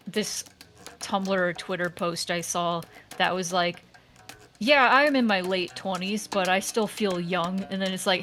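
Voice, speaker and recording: A woman in her thirties talks casually, friendly and quick to laugh. Her pitch is average for a woman, with faint music in the background. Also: a bit bright. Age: 30s. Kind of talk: casual chat.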